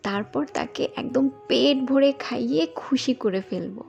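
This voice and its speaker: A woman's voice: fairly high pitch, a little slow, gravelly, darkish tone, lo-fi sound, faint music behind. A woman voicing a sweet-talking, scheming young woman in a cartoon, coy and eager. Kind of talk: cartoon voice acting.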